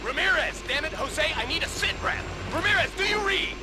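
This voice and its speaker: An actor playing a stern, urgent military commander giving clipped orders in a video game. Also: male voice, very high-pitched, lively intonation, fairly quick, over music. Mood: urgent, alarmed. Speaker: mission commander. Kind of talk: video game radio briefing.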